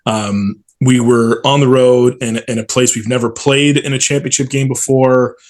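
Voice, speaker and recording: A man's voice: medium pitch, fairly even intonation, normal speed, darkish tone. A man in his forties telling stories casually and enthusiastically as an interview guest, in colloquial language. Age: 40s. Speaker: stadium PA announcer, interview guest.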